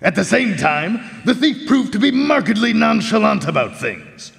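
Man playing high-pitched, gravelly radio private eye. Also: very animated, a bit bright, full character voice. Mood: theatrical, explaining. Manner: formal, measured, showman-like explaining. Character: theatrical male private eye, clever, courteous, methodical. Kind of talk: live radio mystery drama acting. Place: live performance hall. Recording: reverberant stage in a large hall.

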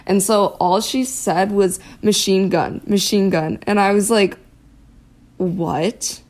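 A woman's voice: mid-range voice, fairly even intonation, takes it slowly, darkish tone. A young American woman casually recalling an experience, chatty and often saying "like". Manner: chatty, peppered with "like". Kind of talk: casual recollection.

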